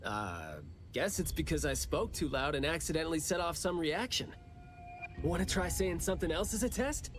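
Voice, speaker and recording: A man's voice: fairly high pitch, moderate pace, music in the background, natural voice. A man playing a playful, wry young investigator in a game cutscene, talking casually and expressively. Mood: teasing, light. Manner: casual, teasing, animated. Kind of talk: game cutscene dialogue acting.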